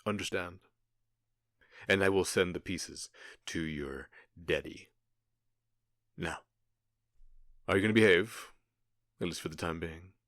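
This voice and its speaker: Casting: male actor for menacing gang boss in ASMR roleplay, deep-voiced, taunting and falsely polite, very close dry recording. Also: a bit bright, dry recording, heavily acted. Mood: coldly threatening. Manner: mock-polite, taunting, deliberate pauses.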